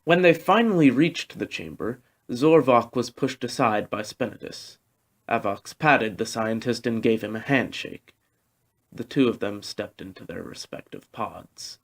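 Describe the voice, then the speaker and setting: Guy narrating a sci-fi novel like an audiobook, reading off a script, medium pitched voice.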